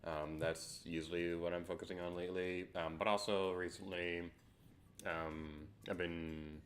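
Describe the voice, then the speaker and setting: A sleepy man with a very deep voice, streaming a game and chatting casually with many fillers. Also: level tone, a little slow, a bit bright, a little hiss or hum. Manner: sarcastic outbursts when losing.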